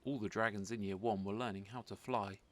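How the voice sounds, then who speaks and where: A man reading a rhyming children's story aloud as an expressive narrator. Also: fairly low pitch, brisk. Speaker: storybook reader.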